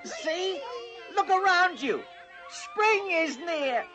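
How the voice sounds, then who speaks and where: An actress voicing a small, innocent, excitable young woodland animal in a cartoon, eager and exclamatory. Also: female voice, very high voice, very slow, over music, exaggerated voice. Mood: gleeful. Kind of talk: animated fantasy character acting.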